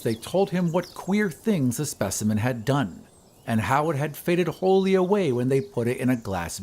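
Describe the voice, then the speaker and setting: A man reading a horror story as scripted dramatic narration, in a measured, literary storytelling manner. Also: medium pitch, darkish tone, slight background noise. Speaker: narrator of an audio drama podcast. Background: ambient sound effects.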